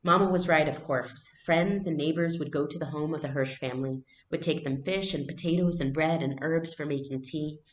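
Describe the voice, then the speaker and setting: A woman's voice: low voice, normal speed, few pauses, slight background noise. A woman reading a story aloud as narrator, in storybook narration style. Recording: echoey room.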